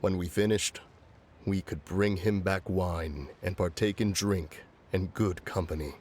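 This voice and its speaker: Old solemn immortal game character, low voice, very formal and old-timey. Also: male voice, steady tone, a little slow, a little mellow, slight background noise. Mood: wistful. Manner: formal, archaic, stately. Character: solemn ancient adeptus mourning an old friend. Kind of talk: video game character dialogue.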